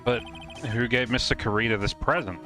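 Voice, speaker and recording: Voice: mid-range voice, a little fast, bright, forceful tone, close to an everyday voice. A performer voicing a flustered, questioning young male defense lawyer with a hesitant manner in a live game fan dub. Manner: hesitant, incredulous. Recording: music behind the voice.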